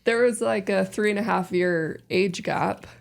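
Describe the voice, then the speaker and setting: A woman in her thirties with a deep voice, chatting casually and rambling a little as a podcast guest. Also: a little slow, creaky. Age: 30s. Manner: relaxed, rambling, many fillers. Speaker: podcast guest, host's sister. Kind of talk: casual family chat.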